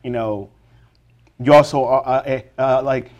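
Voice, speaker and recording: Halting male podcast host. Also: medium pitch, steady tone, a little mellow, dull audio. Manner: halting, full of fillers and restarts. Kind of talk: casual interview.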